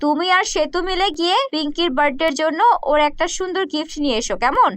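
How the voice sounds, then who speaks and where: A woman's voice: sits high, quick pace, a bit bright, no echo. Woman playing a firm, caring cartoon mother. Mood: coaxing.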